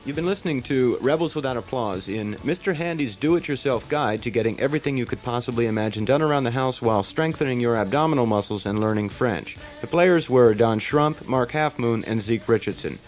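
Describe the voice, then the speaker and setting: A man's voice: medium pitch, a little fast, hardly any pauses. A man reading scripted closing credits as a radio program announcer. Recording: faint music behind the voice.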